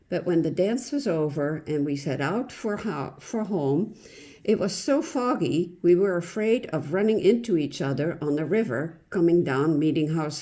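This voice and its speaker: A woman with a slightly low voice reads a reminiscence aloud as narration. She takes it very slowly and deliberately, with lots of rise and fall in her pitch. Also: dark tone. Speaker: reader of a written local history account.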